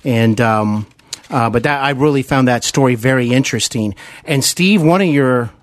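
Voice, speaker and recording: A male podcast host with a mid-pitched voice, interviewing in an earnest, fan-like way. He often halts and repeats words as he builds up to a point. The sound is dry, with little room in it. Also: normal speed, no room sound. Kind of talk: interview, enthusiastic fan recollection.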